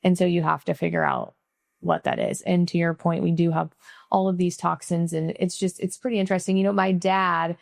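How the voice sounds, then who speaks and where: A woman's voice: lowish voice, a little fast, vocal fry, no echo, studio quality. A middle-aged woman from Missouri hosting a podcast, talking in a chatty way with lots of "like" and "right?". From Missouri, USA. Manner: chatty, frequent "like" and "right?" tags. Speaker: podcast host. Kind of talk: casual interview chat and closing sign-off.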